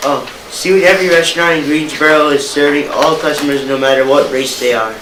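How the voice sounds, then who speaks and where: A man's voice: fairly high pitch, fairly even intonation, brisk. A male student narrator reading scripted narration aloud. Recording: noisy echoey room, distant mic.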